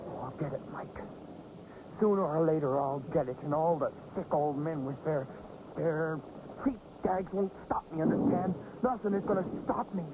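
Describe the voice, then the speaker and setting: An actor playing an excitable, bitter carnival fortune-teller in a radio drama, speaking intensely and impatiently with some stammering. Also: male voice, on the high side, moderate pace, lightly acted. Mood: bitter, angry. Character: excitable, bitter carnival fortune-teller named Benny, craving real visions. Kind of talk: 1980s radio drama acting. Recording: dull, noisy old radio drama recording.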